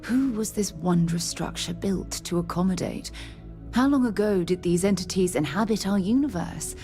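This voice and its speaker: A woman voicing a formal, precise scientist from a video game, with a slightly low voice and a measured way of speaking. She sounds intensely curious, with soft music under her. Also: natural voice. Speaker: scientist companion.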